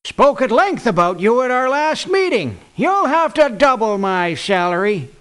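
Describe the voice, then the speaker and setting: A man's voice: very high voice, sing-song, unhurried, fairly bright tone, full character voice. A man voicing a crusty, condescending old war veteran mercenary in a video game, with a folksy, patronizing delivery. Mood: condescending, stern. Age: older. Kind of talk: video game character voice lines.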